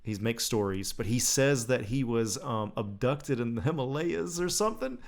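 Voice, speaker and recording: A man's voice: mid-range voice, animated, normal speed, creaky. A man co-hosting a casual podcast, laid-back and loose, swearing freely and laughing between remarks. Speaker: podcast co-host. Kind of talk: casual comic-book discussion.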